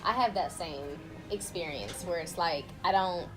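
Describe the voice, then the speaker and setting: A woman's voice: lowish voice, unhurried, poor audio. A woman hosting a casual conversational interview, asking probing questions informally and thinking aloud. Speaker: interviewer and host. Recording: prominent music under speech. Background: music.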